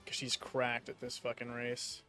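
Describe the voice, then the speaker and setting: Young man, casual stream talk, rambling, lots of fillers, mid pitch, faint background music. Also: completely flat delivery, unhurried, pushed and bright. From United States. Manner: rambling, many fillers and restarts, swears casually. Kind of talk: casual stream chat while gaming.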